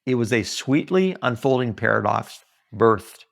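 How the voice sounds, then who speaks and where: Expressive man reading with widely varied pitch. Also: measured pace, creaky. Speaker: author reading own book aloud. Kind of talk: expressive audiobook-style reading.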